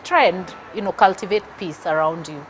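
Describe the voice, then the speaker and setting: Measured Kenyan woman guest. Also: lowish voice, slight background noise, rough recording. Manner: thoughtful, measured, with frequent hesitations. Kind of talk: casual interview chat.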